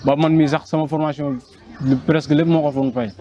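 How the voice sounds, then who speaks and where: Senegalese man, casual testimony, flat intonation, slightly high voice, dull muffled sound. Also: a little fast.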